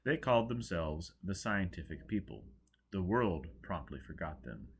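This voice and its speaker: Man, deep pitch, highly varied intonation, dramatic audiobook narration, expressive. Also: normal speed, husky. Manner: theatrical, expressive. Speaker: audiobook reader.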